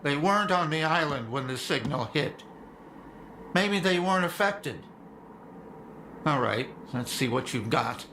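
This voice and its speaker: A man's voice: a little high, lively intonation, a little slow, long pauses, noisy background. An actor playing a weary older man who runs a radio from a bunker, in video game dialogue. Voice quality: gravelly. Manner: calling out call signs over the radio.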